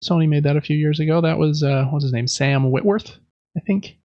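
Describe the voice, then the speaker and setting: A man's voice: fairly high pitch, a little fast, warm tone, no echo, highs cut off. A man hosting a podcast, chatting casually and informally through gaming news. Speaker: podcast host. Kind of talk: casual video game news talk.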